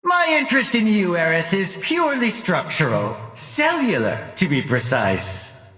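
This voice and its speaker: An actor playing a pompous middle-aged mad scientist in a video game, sinister and gloating, drawling his lines with relish in a raspy voice. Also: sits high, huge pitch swings, measured pace, pushed and bright, dry recording, heavily acted. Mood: cold, clinical relish. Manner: pompous, drawling, relishing menace with sly chuckles. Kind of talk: theatrical video game villain acting.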